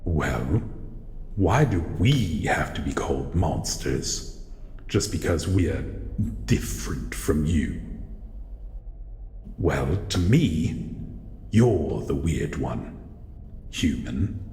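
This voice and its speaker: A man voicing a grumpy, wary shadow monster in an audio roleplay, speaking in a halting, brooding way as it guards its old house. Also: a bass voice, lively intonation, gravelly, darkish tone, full character voice. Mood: wary, softening. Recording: echoey hall reverb, faint background noise.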